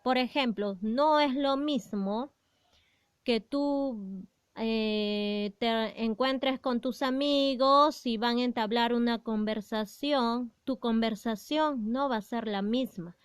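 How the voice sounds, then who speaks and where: A woman teaching a grammar lesson in a patient, explanatory way. Her voice is fairly high and clear, recorded close and dry with no room sound. She often pauses on fillers and asks the class questions. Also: dry recording.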